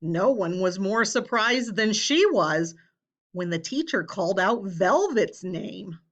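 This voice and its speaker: A woman reading a children's story aloud in an expressive, measured way, pausing for emphasis. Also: medium pitch. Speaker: storybook reader. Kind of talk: children's read-aloud, scripted narration.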